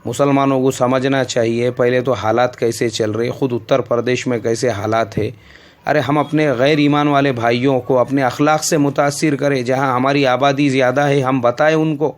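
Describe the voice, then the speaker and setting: A man's voice: mid-range voice, fairly even intonation, normal speed. Emphatic preaching Indian man. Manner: scolding, emphatic repetition. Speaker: Islamic scholar answering questions. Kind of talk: religious Q&A answer, preaching.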